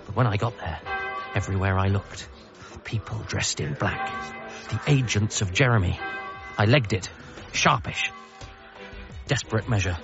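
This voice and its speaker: A man's voice: lowish voice, moderate pace, pauses often, natural voice. Frantic, self-mocking son on a world-saving mission, male actor, radio comedy drama, dull recording over music. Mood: wry, urgent. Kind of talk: radio comedy drama, narration mixed with dialogue.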